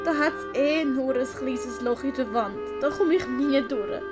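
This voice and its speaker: A woman with a Swiss accent voicing an emotional, earnest young servant woman in an audiobook, plaintive and expressive. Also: sits high, measured pace, voice pushed up. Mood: frustrated. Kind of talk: audiobook character acting. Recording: loud background music.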